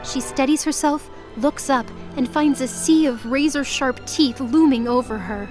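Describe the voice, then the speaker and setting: Female narrator: fairly high register, dramatic scripted storytelling, music underneath. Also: measured pace, a little mellow.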